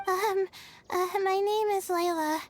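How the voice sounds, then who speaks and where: Casting: shy, exhausted young student, very high soft girlish voice, slow hesitant delivery, video game. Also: fairly even intonation, a little hiss or hum. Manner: hesitant, drowsy, self-effacing. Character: sleepy, timid young female student, low on energy.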